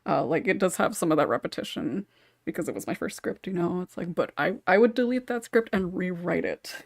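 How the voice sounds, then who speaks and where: A woman's voice: on the low side, lively intonation, brisk, creaky, dry recording, clean studio sound. A woman hosting a casual podcast chat, giggly and a bit hesitant, rambling off into asides. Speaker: podcast host and script writer.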